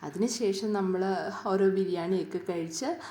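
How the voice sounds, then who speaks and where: A woman's voice: mid-range voice, measured pace, warm tone. A woman with a Malayali accent narrating her day as a vlogger in a casual, conversational, homely storytelling way. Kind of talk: casual daily vlog narration.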